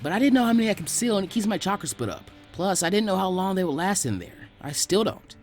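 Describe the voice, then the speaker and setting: A man puts on a cheeky teenage ninja boy for a story reading. He sounds wry and casual, with animated pitch and faint music behind. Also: quick pace. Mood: weary, wry.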